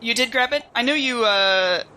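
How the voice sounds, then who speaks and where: A woman's voice: on the high side, normal speed, bright, forceful tone, slight background noise. A woman playing on a tabletop game podcast, chatting casually with the others. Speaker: podcast player. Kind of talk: casual chat.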